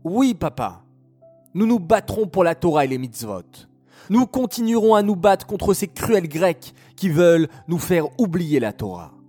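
A man's voice: high-pitched, very animated, measured pace, warm tone, faint music behind, pitched above the performer's own voice, heavily acted. A man voicing loyal young sons who earnestly promise to keep fighting.

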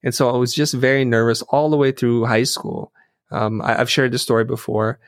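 A man's voice: mid-range voice, fairly even intonation, moderate pace, no echo, studio quality. A middle-aged man whose first language is Vietnamese, telling stories earnestly and thoughtfully as an interview guest, often restarting his sentences. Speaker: interview guest, designer and teacher. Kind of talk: reflective storytelling in conversation.

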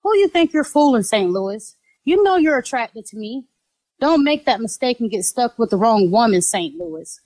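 A woman acting out a high-voiced, taunting flirtatious rival. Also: big pitch swings, darkish tone, rough recording. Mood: seductive, taunting. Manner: teasing, drawn-out delivery. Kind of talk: audio drama acting.

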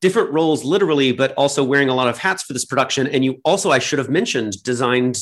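A man's voice: mid-range voice, fast, no echo, studio quality. A man hosting as a director, giving scripted announcements and interviewing. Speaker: director and host.

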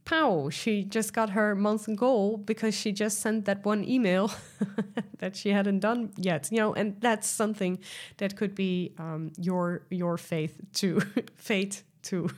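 A woman's voice: medium pitch, steady tone, slow, darkish tone. Chatty Dutch-accented woman hosting. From Netherlands. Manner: chatty and enthusiastic, many fillers and restarts. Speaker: podcast host and sales coach. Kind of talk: solo podcast talk.

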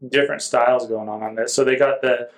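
A man's voice: medium pitch, fairly even intonation, quick pace. A man reviewing music in a casual podcast chat, speaking informally and feeling his way through descriptions.

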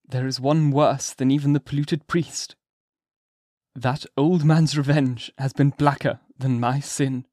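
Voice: mid-range voice, steady tone, a little slow, a little mellow, no room sound, studio quality, lightly acted. A reader voicing a guilt-stricken male minister who speaks in grieving, old-fashioned phrases. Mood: sorrowful. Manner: grieving, archaic speech. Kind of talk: audiobook character voice.

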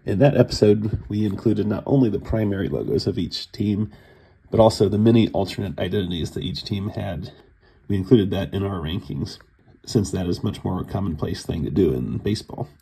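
A man's voice: low-pitched, fairly quick, vocal fry, mellow, husky. A man from Cincinnati hosting a solo podcast in a casual, chatty way, sounding groggy from a cold. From Cincinnati, USA. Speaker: podcast host.

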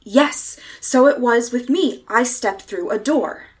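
A woman's voice: fairly high pitch, expressive, slow pace. An actress playing a despairing, fearful woman stranded in a nightmare world, in dramatic old-time radio horror style. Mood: urgent, insistent. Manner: dramatic, anguished, haunted.

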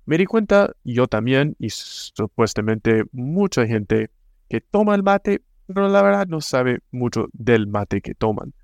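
A man's voice: medium pitch, big pitch swings, unhurried, creaky, dry recording. A man from the United States with an American accent, a native English speaker hosting a casual podcast and speaking hesitantly, with frequent restarts and fillers. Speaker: podcast host.

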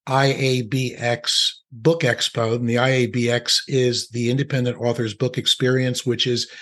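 A man's voice: mid-range voice, level tone, moderate pace, a bit bright. A man from New Jersey hosting a podcast interview, friendly and joking, asking long, rambling questions. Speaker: podcast host.

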